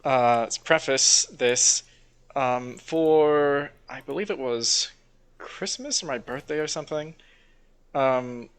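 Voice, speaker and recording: A man's voice: mid-range voice, slow pace, smooth. A man co-hosting a podcast, chatting casually and telling stories in a rambling way with mock-formal asides. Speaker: podcast co-host.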